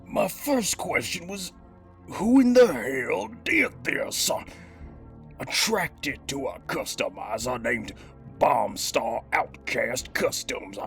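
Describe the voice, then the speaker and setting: A man's voice: medium pitch, animated, slow pace, creaky, a bit bright, faint music behind, exaggerated voice. A man voicing a cocky cybernetic gangster villain who hosts a toy review, talking in theatrical, slangy old-time mobster style. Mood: exasperated. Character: cybernetic master criminal gangster, cocky, streetwise action-figure villain. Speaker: host of a toy review show. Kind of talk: in-character scripted review monologue.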